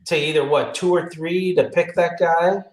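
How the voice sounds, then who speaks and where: A man's voice: on the high side, fairly even intonation, moderate pace, darkish tone. A man co-hosting a sports podcast, chatting casually in an emphatic, slangy way. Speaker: podcast co-host. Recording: echoey room.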